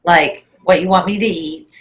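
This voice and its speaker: A woman's voice: on the low side, steady tone, normal speed. A woman in her forties, talking casually and emphatically as a guest on a chat show. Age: 40s. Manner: emphatic, insistent.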